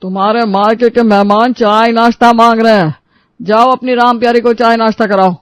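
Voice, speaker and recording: A man's voice: extremely high pitch, fairly even intonation, moderate pace, clear, resonant tone, no room sound. A man voicing a nagging, sarcastic elderly husband in a cartoon story, sounding exasperated. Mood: sarcastic scolding.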